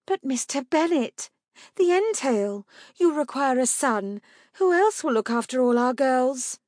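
Audiobook period mother, acted by a female narrator: high, fretful, plaintive, anxious and pleading. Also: expressive, measured pace, a little mellow, no echo, studio quality. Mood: alarmed, pleading. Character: anxious, pleading, tearful mother of five daughters.

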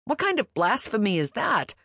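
A woman's voice: mid-range voice, normal speed, no room sound, clean studio sound, voice pushed up, close to an everyday voice. A woman voicing a stubborn, fiery young queen who talks with defiant emotion.

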